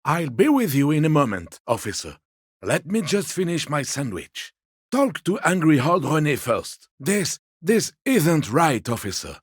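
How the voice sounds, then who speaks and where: A man's voice: a little high, big pitch swings, measured pace, breathy edge, no echo, clean studio sound, full character voice. An actor playing a lonely old man in a video game, wry and wistful, with a fond bitterness and salty tongue. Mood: gentle, polite. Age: older. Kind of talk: video game character dialogue.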